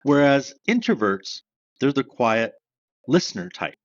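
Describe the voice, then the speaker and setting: A man from Canada, a sales trainer who is a guest on an interview podcast, explaining ideas step by step with examples. He describes himself as a loud, fast talker. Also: mid-range voice, sing-song, dry recording. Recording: dry, slightly dull sound.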